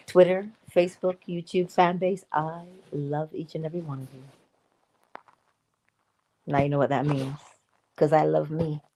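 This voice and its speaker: A woman hosting a casual morning livestream, chatty and playful, laughing often and breaking into sudden high exclamations. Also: vocal fry, darkish tone, a little hiss or hum, poor audio. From Barbados.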